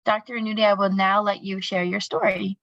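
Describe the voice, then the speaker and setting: A woman's voice: medium pitch, flat delivery, brisk, vocal fry, fairly bright tone, no room sound, highs cut off. A woman hosting a forum, introducing speakers in a scripted, formal way. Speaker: forum host.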